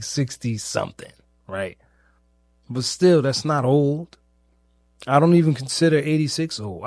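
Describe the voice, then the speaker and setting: A man hosting a podcast, speaking in a reflective personal monologue, informal and searching, with long pauses, repeated phrases and questions put to himself. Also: a little high, a little mellow, dry recording, clean studio sound. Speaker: podcast host.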